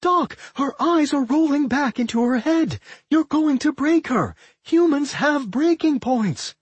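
A narrator as a high, fretful winged girl. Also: very animated, a little slow, darkish tone, slightly rough, no echo, full character voice. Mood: panicked. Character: protective, fretful young winged female creature.

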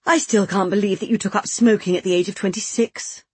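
A woman voicing an older mother in an audiobook story, innocent, girlish and gently dramatic. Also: mid-range voice, steady tone, a little fast, slightly rough, dry recording, lightly acted. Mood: sad.

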